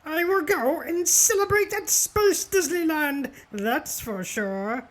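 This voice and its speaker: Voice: high voice, animated, slow pace, fairly bright tone, full character voice. A performer voicing a triumphant, theatrical moth-man wrestler in a cartoon, over faint noise. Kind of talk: cartoon voice acting.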